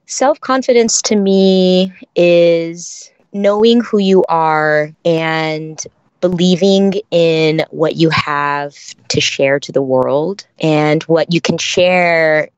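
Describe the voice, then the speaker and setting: Woman, low pitch, smooth resonant voice, dry, slightly dull lo-fi audio, reflective podcast guest. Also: fairly even intonation, slow, no room sound. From San Francisco Bay Area, California. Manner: thoughtful, reflective, many restarts and fillers. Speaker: guest, dancer and choreographer. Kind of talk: podcast interview.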